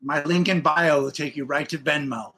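A man with a notably high voice hosting a casual podcast. He jokes about himself and reads listener messages aloud. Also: steady tone, normal speed, bright, forceful tone, husky. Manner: joking, self-deprecating. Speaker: podcast host.

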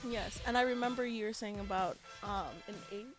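A woman co-hosting a casual chat show, an animated storyteller with a loud voice. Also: mid-range voice, brisk, over music. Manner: animated storyteller, says she has a loud voice. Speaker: co-host.

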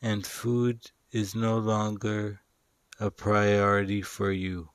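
A man leading a guided meditation in a calm, soothing, instructional manner with long pauses. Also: lowish voice, clear, resonant tone, no room sound. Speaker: meditation guide and show host.

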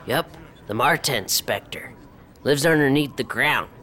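A man's voice: mid-range voice, slow, sharp tone. An actor playing a superstitious young backwoods boy, telling a spooky local legend in an audio drama. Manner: folksy, spooky storytelling.

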